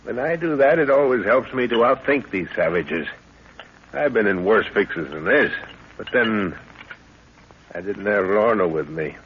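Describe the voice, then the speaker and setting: Older adventurer, confident and brisk, 1930s radio serial style, lowish voice, faint hiss and muffled sound. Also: male voice, lively intonation. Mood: hearty, assured. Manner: brisk, fatherly, commanding. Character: seasoned, confident older male jungle explorer, hearty and commanding. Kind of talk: 1930s radio drama acting. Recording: dull, hissy 1930s radio recording.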